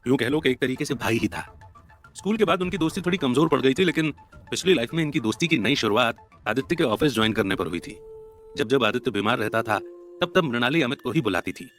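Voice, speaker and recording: A man's voice: medium pitch, expressive, fast, fairly bright tone. Male story narrator over music. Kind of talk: scripted dramatic story narration in Hindi mixed with English words. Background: background music.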